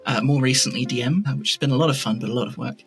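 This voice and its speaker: A man's voice: medium pitch, rapid-fire. A man speaking as a podcast guest in casual interview chat about his creative work, reflective and informal, often rephrasing mid-thought. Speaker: podcast guest, fantasy audio drama creator.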